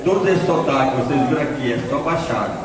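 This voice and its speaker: A man's voice: mid-range voice, animated, fast talker. A man, president of a cultural association, giving a rambling, grateful thank-you speech at an event. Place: cultural center hall. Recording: echoey hall, distant mic, crowd.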